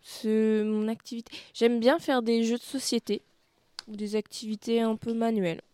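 A young woman in her late twenties answers questions on a children's radio show, playful and hesitant, often pausing to think. Her voice is smooth and clear, a little higher than most. It is recorded dry and close, with no room sound. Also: flat delivery, dark tone, no room sound. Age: 20s. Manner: hesitant, playful, lots of hmms. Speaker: interviewee, youth center activity leader. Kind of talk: casual radio interview. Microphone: close studio mic.

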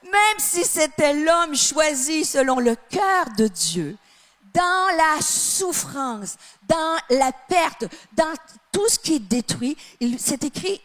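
A Canadian woman with a Québécois accent preaching a sermon to a congregation, stressing phrases emphatically and then dropping to a soft, quiet tone. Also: high voice. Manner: emphatic, drawn-out phrases with sudden drops to a soft tone. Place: church with live and online audience.

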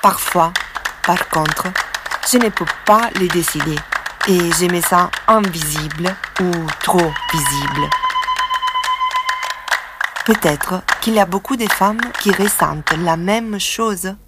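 Slow calm woman narrating. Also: fairly low pitch, heavy background noise. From southern Italy. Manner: calm reflective storytelling. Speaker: documentary narrator. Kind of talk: scripted narration.

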